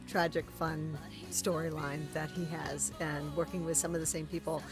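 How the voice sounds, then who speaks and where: A woman's voice: deep voice, flat delivery, moderate pace. An upbeat woman hosting a vlog as interviewer and actress. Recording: music behind the voice. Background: music.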